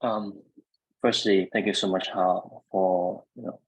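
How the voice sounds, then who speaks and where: A man's voice: sits low, steady tone, a little slow, slightly rough, low-quality recording. A man with a Vietnamese accent moderating an online panel, hesitant with many fillers. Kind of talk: webinar moderation.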